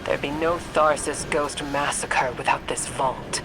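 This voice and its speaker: An actress playing a composed, grave warrior mentor in a video game, speaking in a measured way. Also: female voice, low voice, steady tone, background noise. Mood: resolute. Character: composed, reform-minded warrior mentor of a holy order. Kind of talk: dramatic video game cutscene acting.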